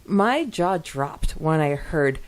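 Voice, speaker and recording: A woman co-hosting a podcast in casual fan discussion, animated and repeating words for emphasis. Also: deep voice, takes it slowly. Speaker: podcast co-host.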